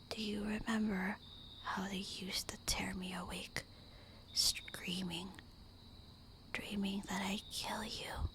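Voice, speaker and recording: A woman's voice: mid-range voice, a bit bright. A woman playing a loving, melancholy vampire wife in a tender, intimate whispered ASMR roleplay monologue. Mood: soft, confiding. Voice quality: breathy, unvoiced whisper.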